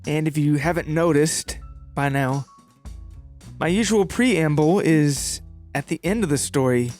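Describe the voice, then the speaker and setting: Male podcast host, casual and jokey, self-deprecating, slightly higher register, light music behind. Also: measured pace, a little mellow. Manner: playful, joking, self-deprecating. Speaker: podcast host and story writer. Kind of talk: casual chat to listeners.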